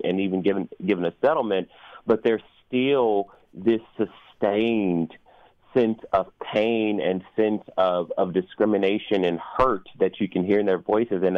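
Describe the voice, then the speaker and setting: A man speaking as an interview guest, explaining things in a measured, thoughtful and articulate way. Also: low-pitched, no echo, phone-quality sound. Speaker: opera performer and guest.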